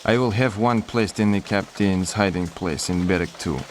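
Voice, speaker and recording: A man plays a suspicious Polish army officer in a game cutscene. His voice is low, and he speaks curtly and with stiff formality, severe and wary. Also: normal speed, a little hiss or hum, lightly acted. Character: distrustful, severe Polish army major, escape leader. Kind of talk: video game cutscene acting.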